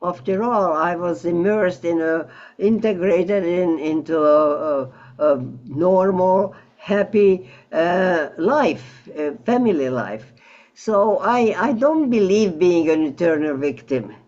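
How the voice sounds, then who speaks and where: An elderly woman recalling her life in an interview, halting and reflective as she searches for words over an online call. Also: on the low side, expressive, a little mellow, lo-fi sound. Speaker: Holocaust survivor interviewee. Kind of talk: personal recollection in an interview. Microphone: video call.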